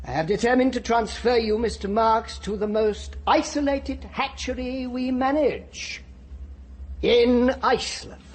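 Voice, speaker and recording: An actor playing a pompous, officious director in a radio drama, speaking formally and with authority. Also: male voice, very high-pitched, steady tone, a little slow. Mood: pompous, grave. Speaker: director of a hatchery.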